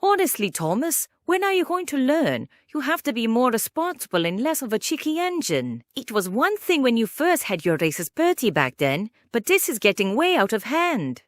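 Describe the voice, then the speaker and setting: Woman as a high-pitched, scolding railway carriage. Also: very animated, moderate pace, few pauses, no room sound. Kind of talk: animated character dub.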